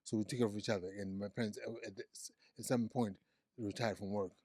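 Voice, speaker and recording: A man's voice: fairly low pitch, steady tone, fast talker, darkish tone, no room sound, clean studio sound. A man in his forties from Zimbabwe, speaking as a guest telling his life story in a casual interview, often repeating words and restarting. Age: 40s. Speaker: guest, psychiatric nurse practitioner.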